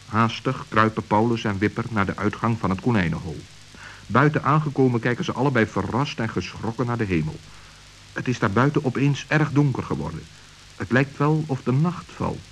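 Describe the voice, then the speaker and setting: A man narrating a scripted children's story in a measured, dramatic manner. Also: mid-range voice, lively intonation. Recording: hissy old radio recording.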